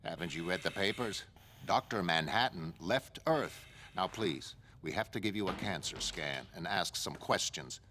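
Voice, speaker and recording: A man's voice: sits low, animated, normal speed, sharp tone, slight background noise. A man playing a cold, callous government agent with a clipped, businesslike, condescending manner. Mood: brisk, official.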